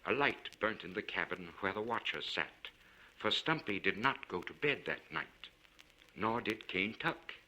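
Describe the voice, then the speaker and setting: A man reading a literary story aloud in a measured, formal and expressive way. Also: fairly low pitch, low-quality recording. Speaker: audiobook narrator.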